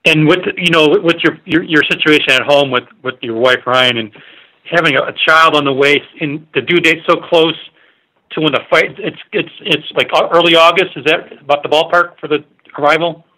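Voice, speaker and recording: Male sports reporter on a conference call: bright, slightly high voice, informal, stumbling questions. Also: rough recording. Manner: halting, rambling, informal questions. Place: remote on a media conference call.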